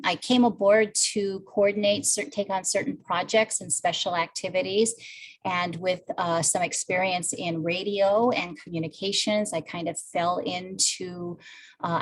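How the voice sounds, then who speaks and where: Woman moderator, lowish voice, friendly, hesitant, self-correcting, video call. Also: rough recording. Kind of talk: conversational interview.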